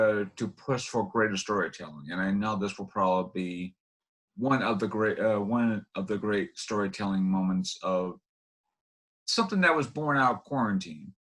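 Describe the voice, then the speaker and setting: A man's voice: lowish voice, bright, forceful tone. A man hosting an interview, phrasing his thoughts in a wordy, roundabout way. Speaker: interview host.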